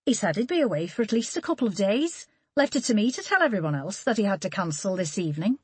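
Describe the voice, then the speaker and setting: Posh Scouse neighbour woman, prim and questioning, pitched high, read by a woman narrator. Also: big pitch swings, moderate pace, dry recording. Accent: posh Liverpool.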